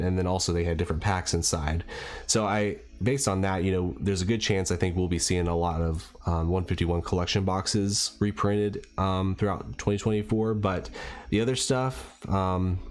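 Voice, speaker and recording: A man with a slightly raspy voice from a cold, chatting casually and a bit hesitantly as he hosts a livestream. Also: deep voice. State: getting over a cold. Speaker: livestream host and card collector.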